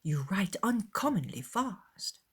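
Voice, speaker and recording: Period audiobook role: woman as fawning young lady of society, affected, flattering, effusive. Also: medium pitch, slow pace, husky, full character voice. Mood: gushing, flattering. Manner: gushing, affected.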